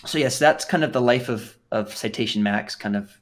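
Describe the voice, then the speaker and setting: A man's voice: medium pitch, normal speed. A man in his twenties from England, a podcast guest telling his story casually, rambling with lots of fillers and restarts.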